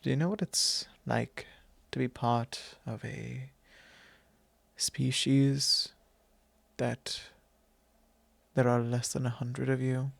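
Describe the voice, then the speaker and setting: A man playing a courtly, fond vampire lord in an intimate ASMR roleplay, speaking formally and unhurriedly with pauses. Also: medium pitch, fairly even intonation, vocal fry, dry recording, full character voice. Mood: reflective, melancholy. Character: courtly possessive male vampire lord, long-lived, fond and wistful. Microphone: close microphone, dry.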